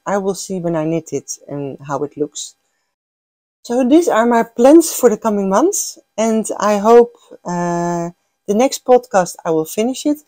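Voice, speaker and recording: Dark-voiced Dutch woman chatting about knitting. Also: fairly low pitch, no room sound. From Netherlands. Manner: chatty, gushing, with fillers and restarts. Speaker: knitting podcast host. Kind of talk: casual chat.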